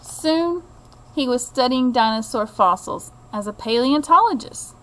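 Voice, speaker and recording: A woman reading a children's picture book aloud in an expressive, deliberate way, like a teacher to a child. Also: high voice, poor audio. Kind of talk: children's storybook read-aloud.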